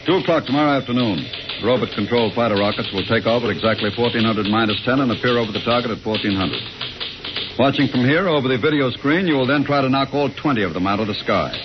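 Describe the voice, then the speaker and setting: An actor playing a brisk, no-nonsense army general in an old radio drama, clipped and dismissive. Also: male voice, mid-range voice, close to an everyday voice. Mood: brisk, businesslike. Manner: clipped military jargon, dismissive. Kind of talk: 1950s radio drama acting. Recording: muffled old radio recording with music.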